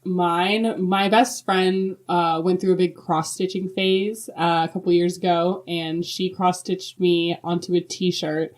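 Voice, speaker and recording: A woman co-hosting a podcast, with friendly, expressive delivery in talk and reading. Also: on the low side, takes it slowly, hardly any pauses. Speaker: podcast co-host.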